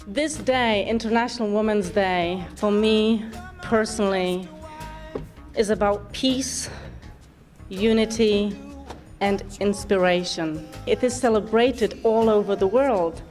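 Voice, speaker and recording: A woman narrates a documentary clip slowly and earnestly, explaining as she goes. Faint music plays behind her voice, as if from a played video. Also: mid-range voice, a little mellow.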